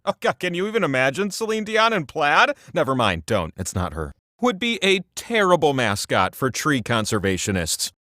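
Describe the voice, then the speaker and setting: Theatrical, swooping game-show wheel. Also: male voice, high voice, a bit bright, no room sound, clean studio sound. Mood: petty, insecure. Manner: theatrical, dramatic, with wry asides. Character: giant talking game-show wheel, vain, quirky, theatrical male host. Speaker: quiz game host. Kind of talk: theatrical video game hosting, reading clues and quips.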